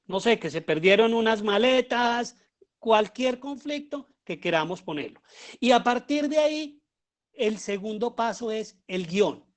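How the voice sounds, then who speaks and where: A man with a Colombian accent giving an explanatory lecture as a teacher, often pausing and posing rhetorical questions. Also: high-pitched, animated. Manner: halting, with pauses and rhetorical questions. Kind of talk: explanatory lecture on writing audio fiction.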